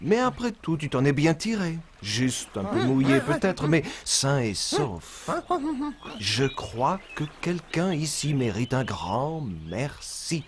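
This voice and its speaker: Animated, kindly young cartoon lion. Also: male voice, mid-range voice, slow pace, over a noisy crowd. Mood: concerned, reassuring. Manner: expressive, gently instructive. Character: kind, wise young male lion, cartoon leader. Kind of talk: French children's cartoon voice acting.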